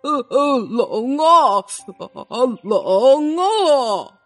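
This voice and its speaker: Hesitant, grumbling picture-book elephant female performer, drawn-out delivery over faint music. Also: sits high, very animated, full character voice. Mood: shivering, complaining.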